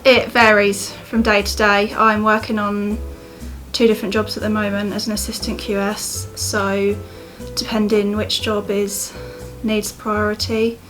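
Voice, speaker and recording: A woman's voice: mid-range voice, flat delivery, a little slow, fairly bright tone, soft background music. A woman in her twenties with an English accent, an apprentice answering interview questions casually, laughing now and then. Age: 20s. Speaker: apprentice assistant quantity surveyor.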